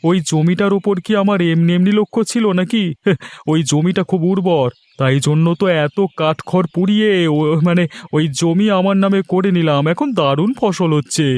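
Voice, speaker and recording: A man's voice: sits high, moderate pace, a little mellow, no echo, lightly acted. A man voicing a greedy, threatening younger brother who grabs land in a moral-story cartoon. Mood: smug. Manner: threatening, bullying. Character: greedy, menacing younger brother, murderous land-grabbing villain. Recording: music under the voice.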